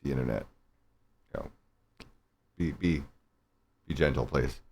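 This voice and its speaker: A man with a deep voice who casually comments while playing a video game and thinks aloud in a self-conscious, laughing way. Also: unhurried, over music. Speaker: game streamer.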